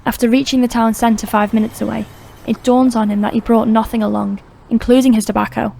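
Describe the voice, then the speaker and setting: Narrator, female: scripted crime audiobook reading, mellow tone, slightly high register, steady. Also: a little fast, vocal fry, slight background noise.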